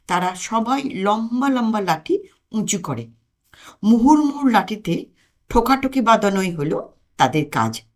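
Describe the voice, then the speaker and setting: A woman reads a humorous story aloud at a slow pace. Her delivery is dramatic and very lively in pitch, with deliberate pauses. Her voice sits in the middle range for a woman. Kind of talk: comic audiobook narration.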